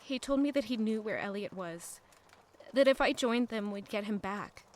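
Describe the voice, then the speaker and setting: An actress playing a gentle, worried young noblewoman in an audio drama, speaking softly and earnestly. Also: mid-range voice, lively intonation, moderate pace, slight background noise, close to an everyday voice.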